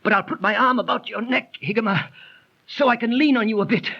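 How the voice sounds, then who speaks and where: A very high, raspy wounded horseman of ancient times. He is plaintive and theatrically strained, heard on a dull 1930s radio drama recording. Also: fairly quick. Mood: pained, relieved.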